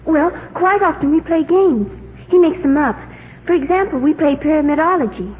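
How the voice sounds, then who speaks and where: A woman's voice: sits high, normal speed, a little hiss or hum, close to an everyday voice. Woman as solemn little girl, precocious, precise, matter-of-fact, vintage radio play, reverberant muffled recording. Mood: earnest, proud. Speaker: actor in a radio drama. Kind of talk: 1950s radio drama acting.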